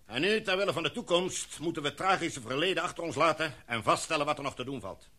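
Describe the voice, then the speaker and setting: A commanding expedition chief, played by a man, holds the floor of a meeting in a radio drama. His voice is bright and forceful, a little high for a man, and his tone stays formal and decisive. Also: expressive, quick pace. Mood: measured. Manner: formal, speech-like address to a meeting. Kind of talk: radio play acting.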